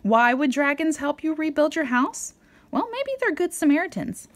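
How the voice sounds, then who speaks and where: A woman reading a picture book aloud to a young child in a playful, expressive way with dramatic emphasis. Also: sits high, moderate pace. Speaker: storybook reader.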